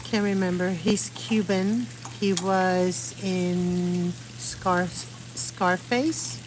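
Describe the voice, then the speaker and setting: Slow-talking middle-aged woman on a noisy live stream. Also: lowish voice, steady tone. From Puerto Rico. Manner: rambling, searching for words. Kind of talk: casual chat while painting. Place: outdoors under an umbrella.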